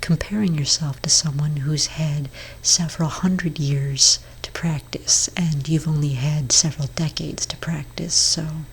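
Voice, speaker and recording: A woman speaking very softly and breathily in an ASMR therapist roleplay, gently reassuring with a hesitant, counselling manner. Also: low voice, fairly even intonation, unhurried, hardly any pauses, low-quality recording. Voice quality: breathy, whispery soft voice. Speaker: ASMR performer role-playing a therapist. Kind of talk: soft-spoken ASMR roleplay.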